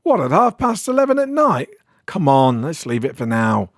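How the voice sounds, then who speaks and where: A man's voice: on the high side, huge pitch swings, normal speed, dry recording, studio quality, pitched above the performer's own voice, full character voice. A man playing an uncertain, defensive, rattled bloke in a short horror story. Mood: dismissive.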